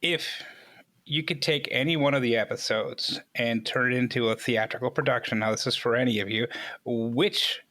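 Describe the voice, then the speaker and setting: Man, interview host, bright forceful voice, mid pitch, casual chat. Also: fairly even intonation, normal speed.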